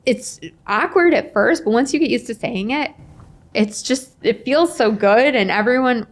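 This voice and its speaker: A middle-aged woman telling stories casually as a podcast guest, chatty and expressive, often voicing remembered dialogue. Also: fairly high pitch, moderate pace. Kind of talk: casual interview chat with animated storytelling.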